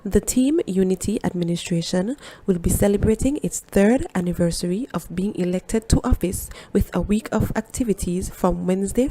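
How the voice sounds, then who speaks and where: Mellow woman reporting news. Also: mid-range voice, low-quality recording. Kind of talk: scripted news narration.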